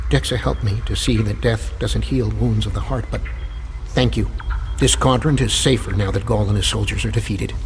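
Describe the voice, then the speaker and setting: A battle-worn alien warrior in a space game. He is sober and sincere, with a lowish, creaky voice over faint noise. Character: alien warrior, grieving but calmed, grateful ally. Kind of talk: scripted video game dialogue.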